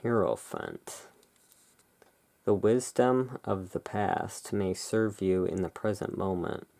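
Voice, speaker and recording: Very slow, low, creaky ASMR host. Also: big pitch swings. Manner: hushed, unhurried, musing. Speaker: host, playing curiosity emporium owner. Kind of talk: soft-spoken ASMR roleplay, reading and describing tarot cards.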